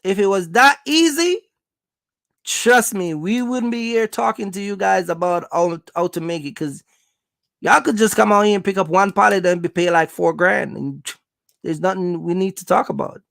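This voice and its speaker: This is a host with a noticeably creaky voice, recorded dry with very little room sound. The delivery is casual, motivational advice straight to viewers. Points are stressed and repeated, with regular check-ins that the listener follows. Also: mid-range voice, moderate pace, fairly bright tone, dry recording. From Jamaica. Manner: emphatic, repetitive, checks in with listeners. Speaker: host, trucking business coach.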